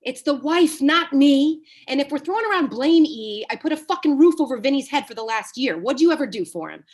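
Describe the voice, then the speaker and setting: Brash, foul-mouthed Hollywood agent, played by a woman, higher-pitched, cocky and needling, comedy table read. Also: animated, brisk. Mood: needling, blunt. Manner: cocky, blunt, taunting.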